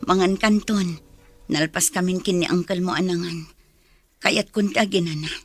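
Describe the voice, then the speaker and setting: A woman's voice: lowish voice, steady tone, moderate pace, faint music behind, lightly acted. A woman acting in an Ilocano radio drama as a middle-aged, long-suffering mother. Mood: weary, evasive. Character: long-suffering, battered mother, protective of her daughter.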